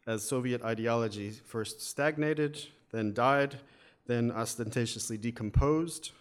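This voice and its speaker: A man's voice: mid-range voice, a little slow, clean tone. An American man with a wry, methodical manner, giving an academic talk with frequent fillers. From United States. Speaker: academic speaker. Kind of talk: lecture presentation.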